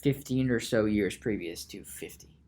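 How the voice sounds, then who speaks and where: A man talks through his thoughts on a solo podcast at an unhurried, deliberate pace. He circles back and restarts his sentences often, repeating words as he works out each point. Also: a little mellow. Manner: musing, slow and halting, with frequent restarts and repeated words; reads search results aloud in a low mutter. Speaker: podcast host.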